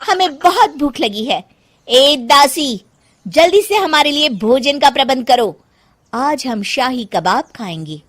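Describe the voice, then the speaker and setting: A woman plays a haughty young bride who carries on like royalty in a comic cartoon. Her voice is high and swoops dramatically as she talks in a pompous, commanding way. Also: expressive, takes it slowly, a bit bright, exaggerated voice. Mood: imperious. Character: haughty young daughter-in-law posing as imperious princess. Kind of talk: Hindi cartoon comedy acting.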